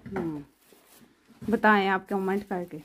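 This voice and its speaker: A woman's voice: medium pitch, slow pace. A woman in her thirties, from Pakistan, chattily listing groceries one by one in a casual home vlog, filmed on a handheld phone. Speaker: vlogger. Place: home floor strewn with groceries. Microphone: handheld phone camera. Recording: noisy home phone recording.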